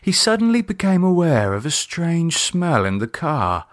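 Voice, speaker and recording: A man reading his own horror novel as a scripted audiobook, with dramatic, deliberate storytelling. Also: on the high side, big pitch swings.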